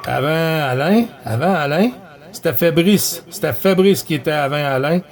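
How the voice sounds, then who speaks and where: A man's voice: a little high, normal speed. A man with a Quebec French accent chatting casually and rambling as he runs a CB radio contact. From Quebec, Canada. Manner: rambling, repetitive, colloquial. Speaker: CB radio operator running a contact net.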